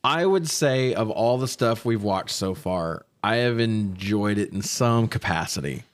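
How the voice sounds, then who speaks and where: A man hosting a casual film review podcast, recapping in an animated, profane way with frequent laughter. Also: fairly low pitch, unhurried. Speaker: podcast host reviewing films. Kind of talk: casual recap and banter between friends.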